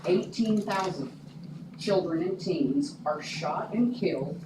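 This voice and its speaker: A woman's voice: fairly low pitch, animated, slow pace. Woman reading a formal statement at a meeting. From Oklahoma. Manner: formal, reads a prepared statement. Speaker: public commenter. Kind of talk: prepared public statement. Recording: echoey room, distant mic, noisy.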